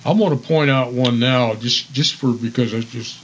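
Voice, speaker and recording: A man with an American accent chats as a radio co-host. His voice sits at a medium pitch and comes through slightly dull and lo-fi. The style is informal commentary. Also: moderate pace, a bit bright, a little hiss or hum. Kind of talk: casual chat and commentary.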